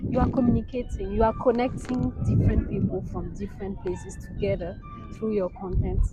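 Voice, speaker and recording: A woman's voice: mid-range voice, fairly quick, muffled recording, heavy background noise. A young woman with a Burkinabe accent explaining things earnestly and patiently in casual conversation as a local guide. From Burkina Faso. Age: young adult.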